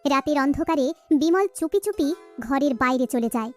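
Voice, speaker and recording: A woman's voice: high voice, expressive, normal speed, soft background music. A woman narrator reading a scripted children's story.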